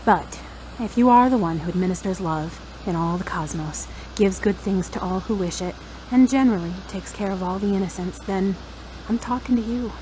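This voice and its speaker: A woman's voice: medium pitch, normal speed, mellow, a little hiss or hum, natural voice, low-quality recording. A woman playing a hurt, headstrong young woman in an audio drama, speaking frankly and earnestly. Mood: reproachful, pleading. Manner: frank, searching.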